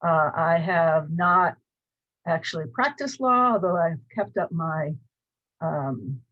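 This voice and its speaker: A woman's voice: deep voice, expressive, takes it slowly, highs cut off. A woman in her sixties from Cleveland with a Northern US accent, introducing her college course in a chatty, rambling way with many fillers. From Cleveland, Ohio. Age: 60s. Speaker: college instructor. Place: small home office. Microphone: webcam at desk.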